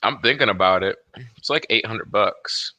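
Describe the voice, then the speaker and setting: A man with a low but strikingly bright, hard-edged voice co-hosts a casual livestream. His talk is slangy and informal. The recording is dry, free of echo. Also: moderate pace. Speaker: livestream co-host.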